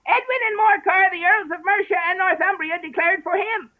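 Prim squeaky mouse, bossy and fussy, phone-line sound. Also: male voice, normal speed. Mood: pompous. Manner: prim, lecturing, high squeaky voice. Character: fussy self-important male mouse. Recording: tinny phone-like audio.

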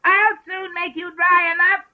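Prim squeaky mouse, bossy and fussy, phone-line sound. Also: male voice, little pitch movement, unhurried. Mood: pompous. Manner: prim, lecturing, high squeaky voice. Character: fussy self-important male mouse. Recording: tinny phone-like audio.

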